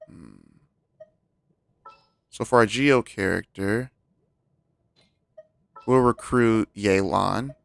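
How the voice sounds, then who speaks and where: A man's voice: on the low side, vocal fry, a bit bright, no room sound. A man giving casual gameplay commentary as a streamer, thinking aloud as he goes. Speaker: game streamer.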